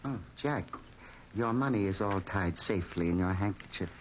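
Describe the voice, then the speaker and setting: A man's voice: low-pitched, close to an everyday voice. Radio drama villain, male actor: fat gambling boss, oily courtesy over menace, muffled noisy old recording. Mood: oily politeness. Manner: mock-polite, menacing, deliberate. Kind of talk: 1940s radio acting.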